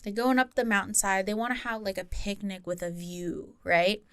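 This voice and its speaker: A woman's voice: mid-range voice, steady tone, normal speed, fairly bright tone, clean tone, dry recording. Woman telling a story casually, dry close sound. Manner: informal, giggly, self-interrupting asides. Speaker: podcast co-host and storyteller.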